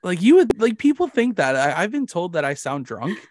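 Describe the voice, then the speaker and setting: A man's voice: sits high, sing-song, moderate pace, a little mellow, a little hiss or hum. A man with a Canadian accent hosting a podcast in casual chat, rambling, jokey and self-deprecating. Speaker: podcast host.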